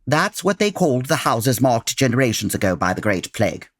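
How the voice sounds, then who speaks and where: Male actor as Victorian detective, formal, theatrical, lively swooping intonation, dry close sound, comedy audio drama. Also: mid-range voice, brisk, dry recording. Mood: intrigued, explanatory. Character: learned, eager, gentlemanly Victorian detective, patient but exasperated.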